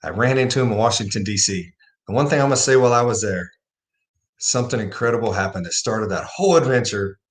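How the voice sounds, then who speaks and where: A man's voice: lowish voice, quick pace. A man hosting a livestream, talking casually about faith and telling a personal story with rising emphasis. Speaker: livestream host.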